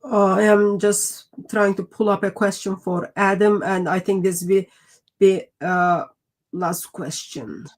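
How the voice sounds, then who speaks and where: A woman's voice: medium pitch, fairly even intonation, a little mellow. Polite female debate moderator. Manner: measured, polite. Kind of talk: moderating a live Q&A.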